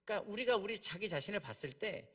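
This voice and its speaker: Male preacher, slightly high voice with highly varied intonation; explains conversationally, repeats key points, asks rhetorical questions. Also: animated, fast, fairly bright tone, slightly rough. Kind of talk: conversational expository sermon.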